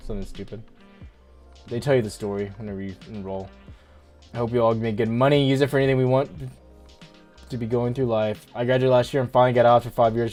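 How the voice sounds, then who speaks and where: A man's voice: mid-range voice, a little mellow. A man in his twenties hosting a stock trading livestream, chatting casually and rambling with lots of "like". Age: 20s. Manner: laid-back, rambling, heavy use of "like". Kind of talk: casual livestream chat, reading viewer comments.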